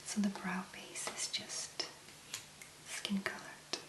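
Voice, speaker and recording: A woman speaking in a hushed, gentle whisper with long pauses, as an ASMR host. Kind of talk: soft-spoken whispered ASMR. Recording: roomy echo, clear background noise.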